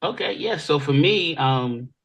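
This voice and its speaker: A man's voice: a little high, expressive, measured pace, vocal fry, a bit bright, breathy edge. An American man from Virginia, speaking casually and conversationally as an interview guest telling stories. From Virginia Beach, Virginia, United States. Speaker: interview guest, music industry veteran.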